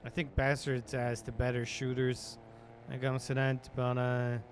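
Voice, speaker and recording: A relaxed male streamer rambling through casual game chat at an ordinary mid pitch. His talk drifts with fillers and restarts. Faint game noise sits underneath. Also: moderate pace. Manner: relaxed, rambling, laid-back.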